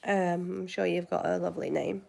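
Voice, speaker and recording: Yorkshire lady chatting to her channel, bit low-pitched, lots of ums and restarts. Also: flat delivery, normal speed, gravelly, darkish tone. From West Yorkshire, England. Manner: chatty and rambling, with frequent fillers and restarts. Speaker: channel host. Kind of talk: casual chat answering viewers.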